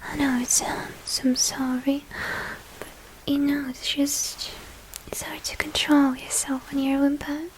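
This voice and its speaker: A woman playing a shy, apologetic but hungry young vampire girl in an ASMR roleplay, speaking in a hesitant, intimate, breathy whisper. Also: sits high, level tone, unhurried, a little hiss or hum, poor audio. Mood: shy confiding.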